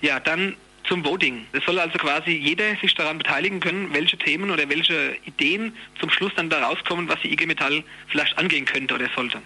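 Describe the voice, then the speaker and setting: Fast, forceful, husky man being interviewed. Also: on the high side, rough recording. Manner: colloquial, explanatory. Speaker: trade union youth secretary, interviewee. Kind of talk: spontaneous spoken interview.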